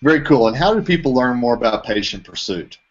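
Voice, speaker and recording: A man's voice: mid-range voice, animated, a little fast. A man hosting an interview over an online video call, asking questions as the interviewer. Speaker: interviewer, trade publication editorial director. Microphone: video call microphone. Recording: dull lo-fi video call audio.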